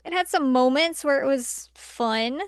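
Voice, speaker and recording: A woman's voice: sits high, a little slow, dry recording, clean studio sound. A woman co-hosting a podcast in a casual, conversational style. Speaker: podcast co-host. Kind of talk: casual chat.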